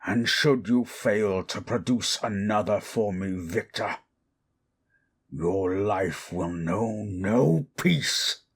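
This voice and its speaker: Casting: a wrathful, lonely undead creature for audio drama; husky voice, slow and theatrical delivery, formal speech. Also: male voice, fairly low pitch, full character voice. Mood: cold menace. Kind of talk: dramatic audio roleplay monologue.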